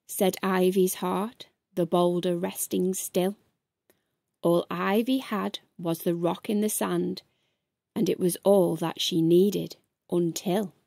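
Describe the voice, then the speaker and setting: Unhurried, mellow woman reading a storybook. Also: on the low side, gravelly, dry recording, studio quality. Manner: measured bedtime-story delivery in verse. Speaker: storybook narrator. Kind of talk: rhyming picture book read aloud.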